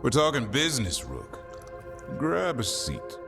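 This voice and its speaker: An actor playing a gruff but sociable veteran monster fighter in a video game. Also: male voice, mid-range voice, huge pitch swings, measured pace, over music, full character voice. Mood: wry. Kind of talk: video game cutscene acting.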